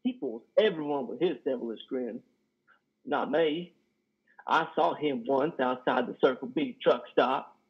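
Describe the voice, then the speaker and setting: A man in his thirties playing a lonely, guilt-ridden, simple-minded trucker in a play reading, with halting, folksy speech. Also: mid-range voice, slightly rough. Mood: troubled, intense. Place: indoor room on a video call. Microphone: headset. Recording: muffled video-call audio.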